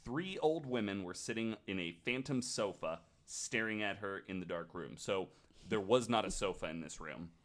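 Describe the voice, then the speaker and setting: A man's voice: lowish voice, lively intonation, normal speed. A man retelling ghost stories as a podcast host, in a casual, joking way.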